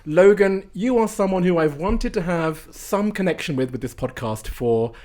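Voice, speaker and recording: A man's voice: sits high, expressive, normal speed, a little mellow. Dry, self-mocking male podcast host. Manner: dry, self-deprecating humor. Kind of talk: podcast intro and interview chat. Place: Shanghai.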